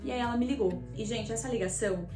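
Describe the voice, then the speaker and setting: A woman's voice: fairly high pitch, fast talker, reverberant, music in the background. A young Brazilian woman vlogger telling a personal story to her viewers in a chatty, confessional way. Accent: Brazilian Portuguese. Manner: chatty, addresses viewers directly as "gente". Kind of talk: casual confessional storytelling to camera.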